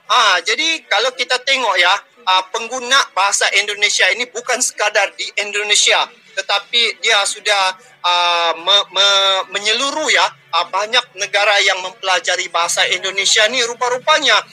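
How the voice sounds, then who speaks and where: A man's voice: high voice, normal speed, bright, forceful tone, soft background music, low-quality recording. A man with a Malaysian Malay accent hosting a reaction video, chatting casually with frequent fillers. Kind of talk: casual commentary.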